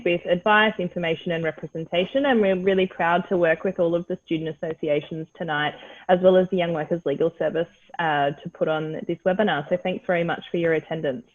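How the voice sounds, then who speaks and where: Woman, thin phone-like sound. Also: fairly low pitch. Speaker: director of women's centre, panelist. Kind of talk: self-introduction on a panel. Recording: thin phone-like call audio.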